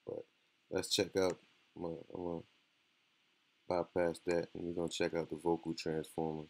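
A man giving a casual software tutorial in a laid-back, slangy way. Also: low-pitched, monotone, moderate pace, creaky. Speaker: music production tutorial host.

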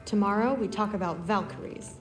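A woman's voice: medium pitch, brisk, big-room sound, faint music behind, natural voice. A young woman playing a friendly, eager, proud teenage girl warrior in training in a video game, talking in a casual, teasing way. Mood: cheerful. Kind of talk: video game cutscene acting.

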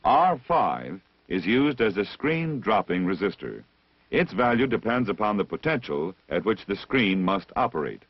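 A man reading scripted technical instruction as the narrator of a vintage military training film, measured and deliberate, on a dull old film soundtrack. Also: fairly low pitch, very animated, breathy edge, no echo. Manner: measured, didactic, deliberate enunciation.

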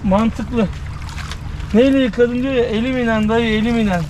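A man's voice: very high voice, normal speed, poor audio. A man in his thirties hosting a fishing vlog, chatting playfully with his son while catching creatures by a stream. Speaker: fishing channel host. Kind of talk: casual outdoor vlog talk to camera. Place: outdoors by a park stream. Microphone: action camera on the body. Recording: outdoor camera audio with steady background noise.

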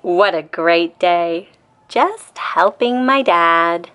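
Slow, bright-voiced woman reading a storybook. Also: lowish voice, animated, a little hiss or hum. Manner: deliberate, acts out quoted lines in a child-directed way. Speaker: storyteller reading a children's picture book. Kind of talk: expressive bedtime read-aloud.

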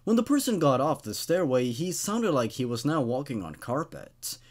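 A young man in his twenties narrates creepy stories into a studio microphone. His mid-pitched voice swings widely as he reads with drama, then drops into casual, wry commentary. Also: sing-song, moderate pace, darkish tone. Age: 20s. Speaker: host reading horror stories. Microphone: studio condenser microphone on a stand.

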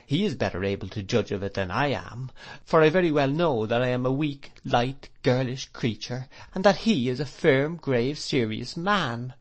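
A man reading aloud, voicing a gentle, fretful young mother who pleads and chides in a raised, tearful tone. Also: medium pitch, animated, moderate pace, pitched above the performer's own voice, natural voice.

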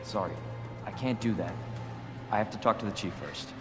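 An actor playing an earnest, uneasy young police rookie in a video game, asking questions sincerely. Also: male voice, fairly low pitch, normal speed, over loud music, natural voice. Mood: guarded. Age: 20s. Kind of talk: video game cutscene acting.

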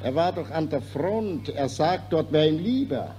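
An actor playing a gossipy, teasing Austrian officer with a Viennese accent in a 1940s radio play. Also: male voice, on the high side, expressive, a little slow, dark tone.

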